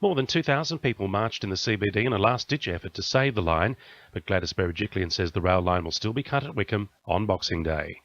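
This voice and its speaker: A man with an Australian accent reads radio news from a script. His voice sits on the low side, and the audio is a little dull, with the top end trimmed. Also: lively intonation, quick pace, fairly bright tone, no room sound. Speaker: news reader.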